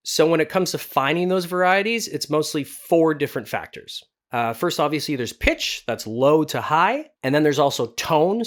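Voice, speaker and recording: A man hosting a tutorial in a chatty, self-deprecating way, like a working voice actor talking to viewers. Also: mid-range voice. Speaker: video host and voice actor. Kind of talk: casual scripted tutorial to camera.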